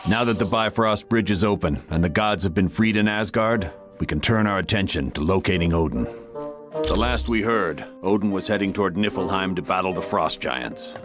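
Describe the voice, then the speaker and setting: A man playing a composed commander giving a measured mission briefing in a video game, music behind. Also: sits low, natural voice. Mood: businesslike. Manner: measured, matter-of-fact.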